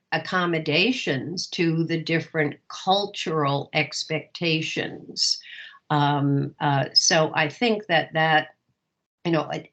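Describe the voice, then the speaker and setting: An older American woman, a professor speaking off the cuff in an academic discussion, thoughtful and halting as she searches for words. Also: sits low, fairly bright tone, lo-fi sound. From United States. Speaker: professor teaching a masterclass.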